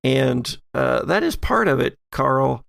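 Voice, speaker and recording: A man narrating a tabletop horror game as game master, in a measured, halting storytelling manner. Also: medium pitch, lively intonation, creaky, fairly bright tone, no room sound, studio quality.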